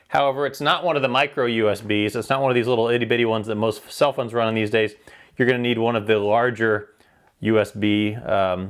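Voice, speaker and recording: A man reviews a product in a casual, hands-on style. He speaks in an informal, plain-spoken way, explaining features step by step at a middling pitch.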